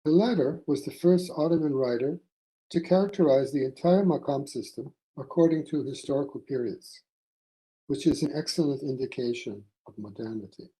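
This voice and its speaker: Male academic lecturer, mid-pitched and very mellow, formal read-aloud delivery in deliberate short phrases. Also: rough recording. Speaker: academic presenting a conference paper. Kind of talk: formal scholarly lecture read from a prepared text.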